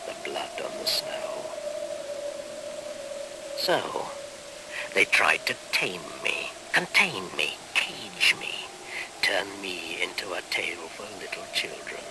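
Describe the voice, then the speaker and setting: An actor playing an ancient, solemn and menacing midwinter shaman spirit in a radio play, speaking in incantatory, breathy half-whispers with dramatic pauses. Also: low-pitched, lively intonation, heavily acted, rough recording. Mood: bitter, resentful. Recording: hissy 1970s radio recording.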